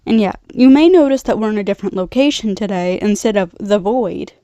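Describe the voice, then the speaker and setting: A woman's voice: mid-range voice, a little fast, warm tone, dry recording, muffled recording. A young adult woman with a nasal, congested voice, rambling casually as she recaps a film in a slangy, tangent-prone way. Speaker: video host, film recapper. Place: bedroom.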